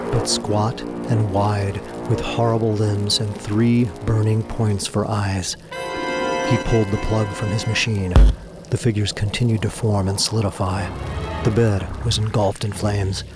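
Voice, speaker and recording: A man narrating a scripted audio drama in a theatrical, suspenseful storytelling manner. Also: lowish voice. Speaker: narrator and voice actor. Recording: music behind the voice.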